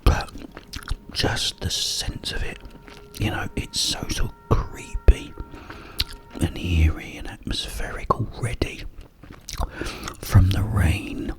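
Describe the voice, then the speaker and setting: A man whispering up close in an unhurried ASMR ramble, with breathy delivery and hesitant pauses. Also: low voice, over music, low-quality recording. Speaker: ASMR host. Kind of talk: ASMR whispered ramble about a video game. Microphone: close-up microphone.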